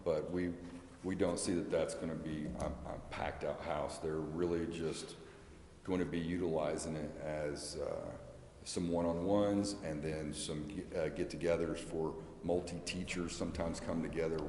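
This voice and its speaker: Low, mellow American man in echoey hall. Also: fairly even intonation, measured pace. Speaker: school representative addressing a city council. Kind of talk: answering questions at a public meeting. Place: large indoor council chamber. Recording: echoey meeting hall with background noise.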